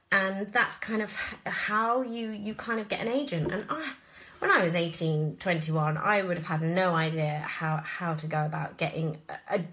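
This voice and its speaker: Bright-voiced English woman, chatting casually. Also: lowish voice. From southwest England. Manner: chatty, rambling, expressive. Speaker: actress answering listener questions. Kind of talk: casual live Q&A chat.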